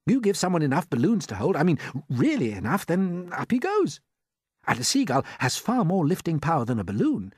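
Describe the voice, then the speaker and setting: Man playing an earnest, determined boy, raised pitch. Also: wildly swooping pitch, a little fast, slightly rough, no room sound, clean studio sound, exaggerated voice.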